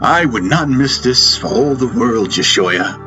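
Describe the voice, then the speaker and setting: A man voicing a kindly, smiling spiritual master, warm and reassuring with formal phrasing, in an audiobook. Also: medium pitch, lively intonation, measured pace, voice pushed up, lightly acted. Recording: music bed behind the voice.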